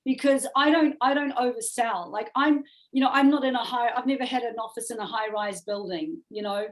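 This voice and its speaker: Woman, New Zealand accent, high voice, earnest chatty interview guest, middle-aged. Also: brisk, poor audio. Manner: chatty, earnest, repeats words and adds "right?". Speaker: music manager guest. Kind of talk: casual interview chat.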